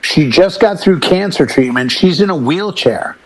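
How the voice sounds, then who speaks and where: Male podcast panelist, fairly high voice. Insistent, opinionated, conversational storyteller who slips into imagined quotes. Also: expressive, normal speed, a crowd in the background, poor audio. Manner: emphatic, insistent; acts out imagined quotes as he tells stories. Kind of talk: casual opinionated discussion.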